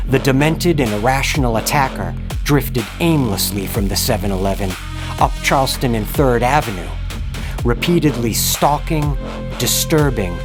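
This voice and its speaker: A man delivers scripted horror narration in a deliberate, ominous manner, dwelling on ornate phrases. His pitch sits in an ordinary male range, and music plays clearly behind him. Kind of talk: dramatic scripted true-crime horror narration. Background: background music.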